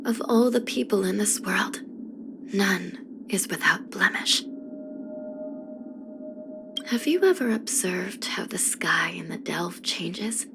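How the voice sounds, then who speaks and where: A woman's voice: medium pitch, creaky, background noise. Weary, solemn young female judge. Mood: calm, wistful. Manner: measured, grave, formal. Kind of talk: scripted video game character voice lines. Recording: music under the voice. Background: background music.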